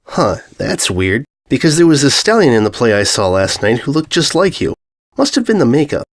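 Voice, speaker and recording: A man's voice: medium pitch, very animated, moderate pace. A man voicing a jealous, easily flustered young female pony who stammers and turns sarcastic in a story. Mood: suspicious.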